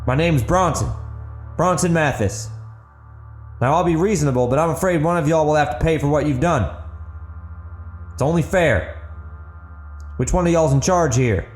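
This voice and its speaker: A man's voice: on the high side, moderate pace, long pauses, reverberant, faint music behind, voice pushed up, close to an everyday voice. A man voicing a wary, threatening country gunman with folksy rustic speech in an audiobook drama. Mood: firm, bargaining. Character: wary, threatening rural gunman defending his home and hogs.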